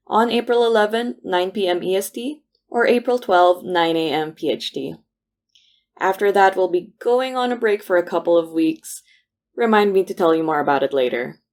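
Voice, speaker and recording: A woman's voice: lowish voice, lively intonation, normal speed, a little mellow. A woman giving a scripted announcement as a podcast producer. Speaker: podcast co-producer reading credits. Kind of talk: scripted announcement, upbeat greeting.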